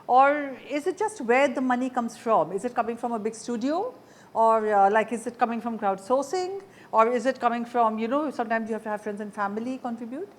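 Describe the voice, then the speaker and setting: A woman's voice: on the high side, a little fast. A woman hosting a conversational interview. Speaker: interview show host.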